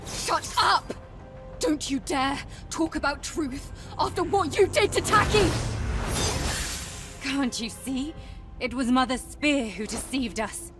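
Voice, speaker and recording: A woman's voice: high voice, slow pace, distant mic. An actress playing a determined, guarded young warrior woman in a video game, terse and serious, heard slightly back under music. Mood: furious. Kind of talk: dramatic video game acting. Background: prominent music.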